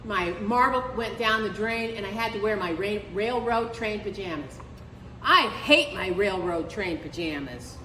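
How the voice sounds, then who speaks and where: A woman reading a picture book aloud to children as a storytime librarian, expressively, with drawn-out emphasis on key words. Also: medium pitch, animated, fairly bright tone. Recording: echoey reverberant room with faint noise.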